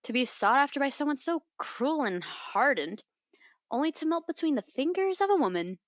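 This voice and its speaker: A playful, outspoken young woman in a fantasy kingdom, played by an actress. Her voice sits on the high side and moves with lively, animated intonation, teasing and informal. The recording is dry, in audio drama style. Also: moderate pace, dry recording. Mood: curious, gossipy.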